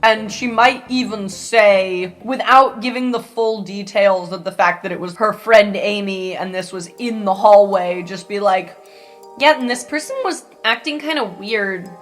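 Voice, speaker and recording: A woman's voice: mid-range voice, unhurried, soft background music. A woman casually describing her character's words as a player in a tabletop game. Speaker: player in a roleplay podcast.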